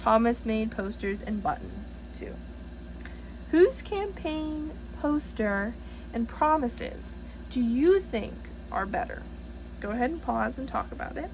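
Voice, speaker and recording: Expressive woman reading to children, lively pitch. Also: slow pace, slight background noise, low-quality recording. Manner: teacherly, expressive, addressing children directly. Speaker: reader for a children's read-aloud. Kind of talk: storybook read-aloud with questions to young listeners.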